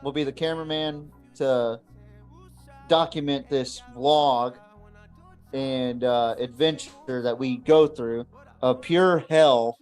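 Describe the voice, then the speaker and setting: A man's voice: medium pitch, steady tone, takes it slowly, darkish tone, clean tone, faint music behind. A man co-hosting a podcast, chatting casually to viewers in a blunt, swearing, rambling way. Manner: profane, blunt. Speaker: podcast co-host.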